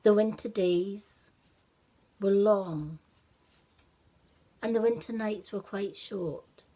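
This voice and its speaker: A woman narrates a children's story at a very unhurried pace. Her delivery is that of an expressive storyteller, with a medium-pitched voice.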